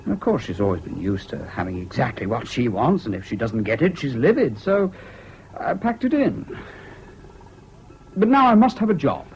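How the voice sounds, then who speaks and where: An actor in a British radio drama playing a troubled, excitable, self-doubting young man with an English accent and an earnest manner. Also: medium pitch, normal speed. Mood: petulant. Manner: hesitant, earnest, swinging between gloom and giddy excitement. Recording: dull, hissy old radio recording.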